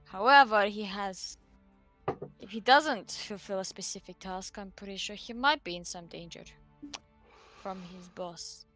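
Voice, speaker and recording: A woman's voice: medium pitch, a little slow, fairly bright tone, faint music behind. A woman playing a tabletop game, speaking in character in a blunt, wry, probing way. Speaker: player.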